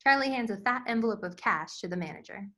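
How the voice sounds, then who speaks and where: Bright-voiced woman narrating a script. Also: on the high side, animated, fast talker. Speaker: stage-direction narrator.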